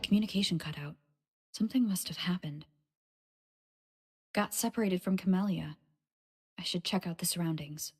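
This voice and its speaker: A woman playing an earnest, thoughtful young female adventurer in a video game, musing to herself in a hushed, breathy voice. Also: on the low side, normal speed, pauses often. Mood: uneasy, musing.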